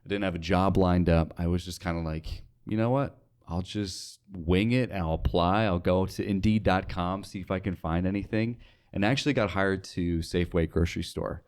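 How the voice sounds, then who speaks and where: A man's voice: sits low, fairly even intonation, moderate pace, darkish tone, clear, resonant tone. A young American man talking casually as a guest in an interview. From United States, North Dakota. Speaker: interview guest, radio sales associate.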